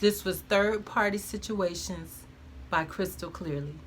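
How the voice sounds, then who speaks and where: A woman's voice: fairly low pitch, a little slow, slight background noise. A woman reading her own first-person memoir aloud in a frank, conversational way with emphatic colloquial phrasing.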